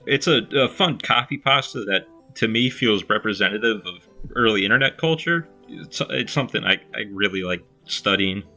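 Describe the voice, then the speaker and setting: A man's voice: lowish voice, expressive, normal speed, sharp tone. A man giving informal, unpolished spoken commentary as a video creator, in a self-deprecating way. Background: faint music.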